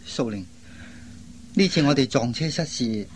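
Radio drama actor: devoted young man, gentle, earnest, mid-pitched. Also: animated, unhurried, a little hiss or hum, close to an everyday voice. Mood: sombre. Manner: earnest, reassuring. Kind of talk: Cantonese radio drama acting.

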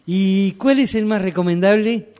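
A man's voice: sits high, a little slow, warm tone, clean tone. Give me a man playing a bewildered, naive customer. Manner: earnest, bewildered questioning. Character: naive male customer seeking a new world. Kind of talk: amateur radio drama rehearsal.

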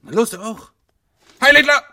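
An actress playing a brash, cheeky, ignorant local who teases and mocks playfully in a drama. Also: female voice, on the high side, lively intonation, normal speed, background noise. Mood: cheery, loud. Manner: boisterous, teasing. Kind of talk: stage-style drama acting.

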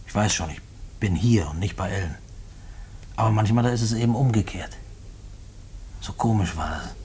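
An actor in a German radio play voicing a condemned prisoner, a working-class family man who speaks haltingly and repeats himself. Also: on the low side, a little mellow, breathy edge.

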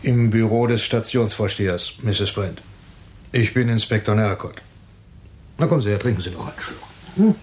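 A man playing a measured police inspector. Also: fairly low pitch, darkish tone, natural voice. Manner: measured, explanatory. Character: calm, methodical male police inspector. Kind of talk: radio drama acting.

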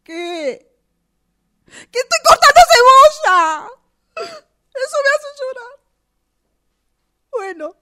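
Woman acting a high-voiced, hurt Argentine girlfriend on the phone. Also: sing-song, drawn-out words, pauses often, bright, forceful tone, exaggerated voice. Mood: tearful outburst, then sad, resigned. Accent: Argentine Rioplatense. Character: hurt, resentful, pregnant woman on phone with unfaithful partner. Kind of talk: radio drama phone monologue.

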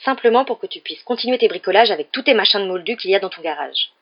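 A woman voicing a sharp-tempered wizard mother in a storybook, scolding with emphatic, accusing indignation. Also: mid-range voice, expressive, quick pace, breathy edge, muffled recording, pitched above the performer's own voice. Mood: furious.

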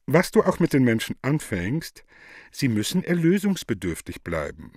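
A man playing a haughty, calculating Virgin Mary in a radio play, with an affected, drawn-out manner. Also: mid-range voice, very animated, a little mellow, dry recording, clean studio sound. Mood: firm. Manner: affected, drawn-out, sprinkled with French.

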